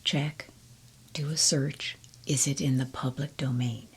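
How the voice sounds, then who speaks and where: Woman, whispered ASMR poetry reading, very breathy, low-pitched. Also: fairly even intonation. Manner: soft unvoiced whisper, gentle and measured. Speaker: storybook reader.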